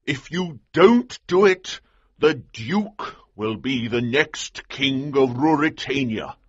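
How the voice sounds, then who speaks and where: A man voicing a bold, commanding army colonel in an audiobook, with a husky voice and an urgent, emphatic delivery. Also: mid-range voice, lively intonation, takes it slowly, dry recording, lo-fi sound, voice pushed up. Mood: insistent. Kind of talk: audiobook character dialogue.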